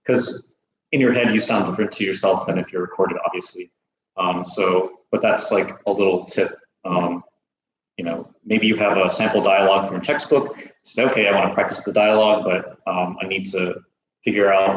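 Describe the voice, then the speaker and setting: An American man hosting a casual livestream Q&A, relaxed and chatty, laughing easily. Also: low-pitched, normal speed, reverberant, highs cut off, a little hiss or hum. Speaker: host.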